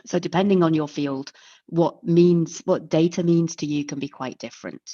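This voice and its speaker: A woman with a low, deep voice gives an online lecture and explains each point step by step in a friendly way. Her sound is dull and lo-fi, like video call audio. It is close and dry, with no room sound. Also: fairly even intonation, normal speed, mellow, no echo. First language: English. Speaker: webinar presenter.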